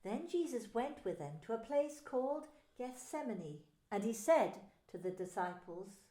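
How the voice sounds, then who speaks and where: A woman narrating a dramatised Bible story in scripted, expressive storytelling. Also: a little high, a little slow. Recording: echoey room with faint music.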